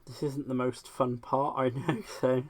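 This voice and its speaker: A man's voice: mid-range voice, little pitch movement, measured pace. Chatty West Country man crafting live. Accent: West Country English. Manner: chatty, self-deprecating, laughs often. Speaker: craft livestream host. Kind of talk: casual live-stream chat while crafting.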